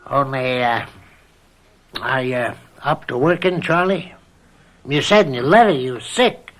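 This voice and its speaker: An actor playing a jovial but bossy and miserly old farmer uncle of 69 in a radio mystery drama, folksy and rambling. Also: male voice, medium pitch, measured pace, a bit bright, close to an everyday voice. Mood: folksy, welcoming. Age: older. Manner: folksy, rambling, chuckling hard bargainer.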